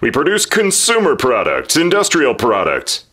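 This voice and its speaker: A man's voice: fairly high pitch, huge pitch swings, moderate pace, fairly bright tone, slightly rough, heavily acted. An actor playing a blustering, impatient company chief in his fifties in a 1950s-style radio drama. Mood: boastful. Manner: blustering, boastful, brusque. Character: brash, impatient corporate boss in his fifties.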